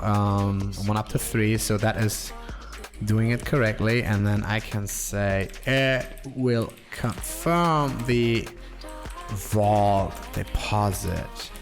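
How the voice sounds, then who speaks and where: A man streaming himself coding, thinking aloud in a slow, halting way and reading code out as he types. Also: fairly low pitch, soft background music. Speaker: programmer streaming live coding.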